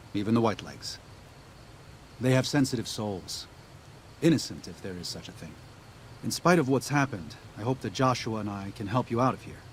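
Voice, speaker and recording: A man playing an earnest, gentle missionary in a video game, talking in a mild, sincere and slightly hesitant way. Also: fairly low pitch, a little hiss or hum, close to an everyday voice. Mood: sympathetic. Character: earnest, gentle missionary leader guiding wasteland tribes.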